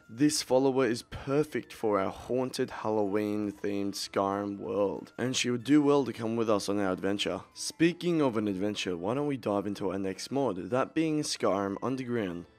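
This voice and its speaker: A man with a fairly low voice reads scripted narration as a video presenter. His delivery is measured and storytelling, with an ominous edge suited to a game showcase. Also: a little mellow, soft background music.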